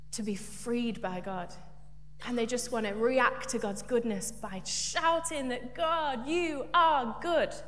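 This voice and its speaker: A woman preaching a light, humorous sermon in church, chatty and self-deprecating. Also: fairly high pitch. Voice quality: big loud voice. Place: church hall. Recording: echoey church hall, faint hum.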